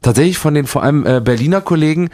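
A man in his thirties from Thuringia, a performance poet, speaking standard German rapidly in a casual interview with many fillers and restarts. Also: fairly high pitch, warm tone.

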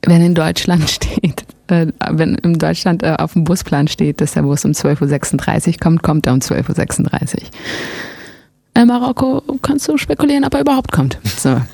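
A woman from Germany, a musician talking in a relaxed interview, often pausing with fillers as she searches for words. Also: sits low, creaky, no room sound. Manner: relaxed, hesitant with frequent fillers. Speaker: musician guest. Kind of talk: casual interview talk.

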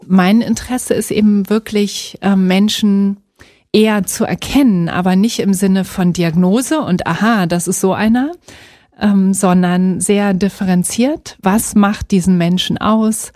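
Thoughtful German woman, mellow and dry. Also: medium pitch, steady tone, no room sound. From Berlin, Germany. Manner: thoughtful, measured, with fillers and soft laughs. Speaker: psychologist, interview guest. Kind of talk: reflective interview answers.

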